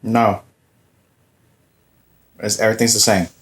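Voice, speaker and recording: A man's voice: on the low side, level tone, unhurried, fairly bright tone. A young man in his twenties co-hosting a gameplay video, teasing his partner and bursting into goofy outbursts. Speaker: let's play co-host. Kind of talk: casual gameplay chat.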